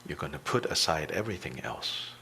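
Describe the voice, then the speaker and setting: A man teaching a guided meditation, speaking deliberately in short phrases with long pauses between them. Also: extremely low pitch, animated, vocal fry, pushed and bright, slight background noise.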